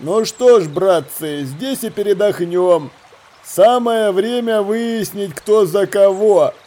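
A man's voice: high-pitched, warm tone. A pirate ringleader from a storybook adventure, acted by a man. He takes his time over each phrase, cool and commanding, with open menace in his delivery. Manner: deliberate, drawn-out, commanding. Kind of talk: acted audiobook dialogue.

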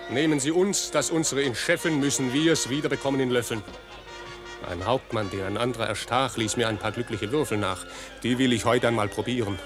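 Wily old peasant voiced by an actor, complaining, radio play, music in background. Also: male voice, mid-range voice, natural voice. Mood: sly. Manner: grumbling, then wheedling. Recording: music playing behind.

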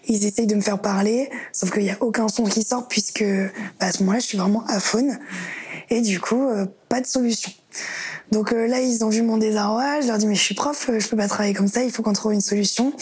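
A woman's voice: a little high, darkish tone. A young woman telling her story in an interview, hesitant and reflective with many fillers. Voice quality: very breathy, husky voice. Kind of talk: personal testimony interview.

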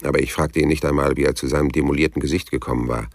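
An actor playing a terse, world-weary private detective with a gravelly voice and a dry, blunt manner in a radio crime drama. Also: male voice, extremely low pitch, steady tone, racing, darkish tone. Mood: brooding narration. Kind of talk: radio crime drama acting and first-person narration.

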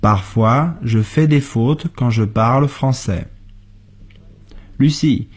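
A husky male voice, speaking very slowly and deliberately. He says each practice sentence for learners, then repeats it word for word. The pace is unhurried, like a drill on a radio lesson. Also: mid-range voice. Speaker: co-host of a language lesson. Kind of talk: slow model sentences for learners.

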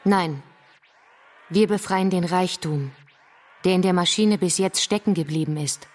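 A woman's voice: lowish voice, measured pace. An actress playing a fervent, defiant revolutionary woman in a stylized radio drama, speaking in emphatic, declamatory slogans. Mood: resolute. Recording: studio radio play with loud music.

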